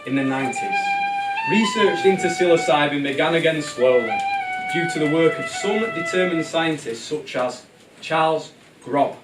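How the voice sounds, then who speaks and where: A man playing a whimsical, excitable storyteller, narrating a puppet show theatrically. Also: fairly high pitch, normal speed, natural voice. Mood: earnest. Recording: distant, echoey live show bootleg with music.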